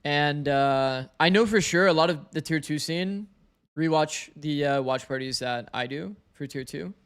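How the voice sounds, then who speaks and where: A man streaming an esports match, breaking down plays in casual chat with his viewers. His voice is slightly raspy from heavy streaming, and he talks on, thinking aloud. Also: fairly high pitch, unhurried, a bit bright.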